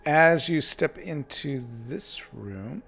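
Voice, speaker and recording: A man narrating a tabletop game as game master, improvising in halting phrases with pauses mid-sentence. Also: a little high, expressive, vocal fry, fairly bright tone, soft background music.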